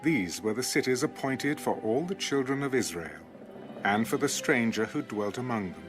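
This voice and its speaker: A man narrating scripture in a formal, scripted audiobook style. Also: mid-range voice. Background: background music.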